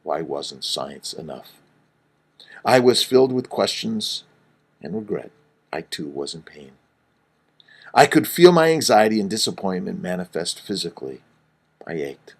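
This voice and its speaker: A middle-aged man, a doctor, reading his own book aloud in an earnest, emphatic way, with wide swings in loudness. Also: low voice, sing-song, measured pace, vocal fry, long pauses, breathy edge. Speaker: fertility doctor and author.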